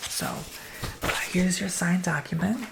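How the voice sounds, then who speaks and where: A woman performs an ASMR roleplay as a soft-spoken salesperson. Her whisper is breathy and sits low, with very heavy vocal fry. She talks a customer through things in a reassuring way. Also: fairly even intonation, unhurried, heavy background noise.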